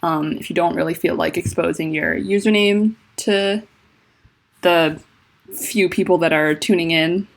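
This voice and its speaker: A woman with a deep voice and a lisp chats casually on a livestream from home, rambling and self-conscious, with lots of fillers. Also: a little slow, vocal fry. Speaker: livestreaming fanfiction writer. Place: at home indoors. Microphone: laptop mic.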